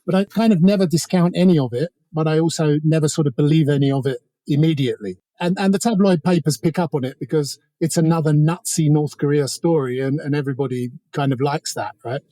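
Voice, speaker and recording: A man's voice: on the high side, fast, few pauses, mellow, no room sound, low-quality recording. A man speaking as an interview guest, giving informal, discursive analysis with a wry edge. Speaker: interview guest, author on North Korea.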